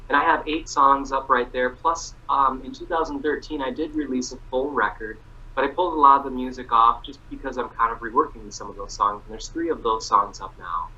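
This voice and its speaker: An easygoing man talks as a guest musician in a friendly chat. His voice is very bright and forceful, and the recording sounds dull and lo-fi. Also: medium pitch, a little fast, breathy edge. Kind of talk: casual interview chat.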